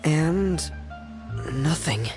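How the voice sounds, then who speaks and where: A gentle, withdrawn younger brother in a video game. The teenage boy talks slowly and softly, halting and subdued, with clear music behind him. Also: fairly high pitch, lively intonation. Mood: downcast. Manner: soft-spoken, halting, subdued. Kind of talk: English video game voice acting.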